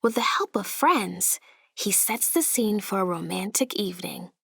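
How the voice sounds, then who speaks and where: A woman narrating a children's storybook aloud in an expressive way, with deliberate, dramatic emphasis. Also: medium pitch, vocal fry, breathy edge, no room sound, studio quality.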